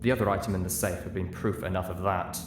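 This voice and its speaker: A man's voice: sits low, steady tone, fast, over music. A man narrating a story as a dramatic audiobook reader, recorded in a room. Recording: echoey room.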